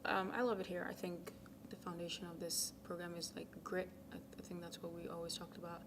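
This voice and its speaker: A young woman from Ghana, a college tennis captain, answering interview questions in a soft, casual way with many ums, sometimes dropping to a near whisper. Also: fairly low pitch, flat delivery, fairly quick. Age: young adult. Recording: noisy background.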